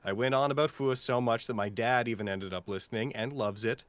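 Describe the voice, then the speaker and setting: A man's voice: medium pitch, fairly quick, no room sound. A man chatting casually and sincerely on a live Q&A, full of heartfelt praise. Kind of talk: casual, earnest chat and reading aloud.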